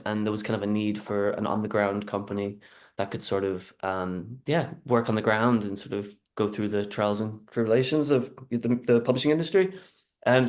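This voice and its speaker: An Irish man introducing himself on a panel in a relaxed, hesitant way with many fillers, talking over a video call. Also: fairly low pitch. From Ireland. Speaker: music publisher panelist.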